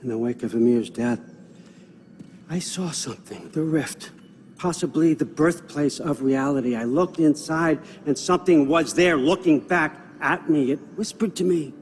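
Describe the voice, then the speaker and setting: An actor playing a cunning, aging one-eyed god in a video game cutscene, a manipulative father who talks conversationally, with sardonic, persuasive phrasing and pointed pauses. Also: fairly high pitch, darkish tone, lightly acted. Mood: earnest, confiding. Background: music.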